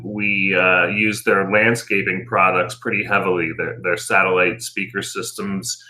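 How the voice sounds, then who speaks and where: A man's voice: low-pitched, pushed and bright. A middle-aged American man giving conversational answers as an interview guest and business owner.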